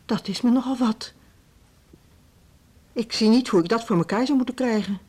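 A woman with a Netherlandic Dutch accent playing a firm, put-upon music school head in a radio drama, brisk and argumentative. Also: on the high side, darkish tone, slightly rough. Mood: businesslike. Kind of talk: naturalistic radio play dialogue.

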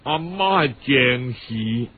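A man acts out a frail, tearful young Cantonese woman in a storybook drama. The delivery is weak, slow and humble, with hesitant sighs. The sound is muffled and radio-like, and the voice husky. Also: mid-range voice, animated, pitched below the performer's own voice. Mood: sad, weak. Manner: weak, apologetic, sighing, hesitant.

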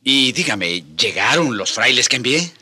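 A man's voice: medium pitch, very animated, a little slow, pushed and bright, husky, full character voice. A man playing an elderly, devout and stubborn friar bishop in a radio drama, with an emotional, pleading manner. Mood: indignant, concerned. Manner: emotional, hesitant repetitions, pleading.

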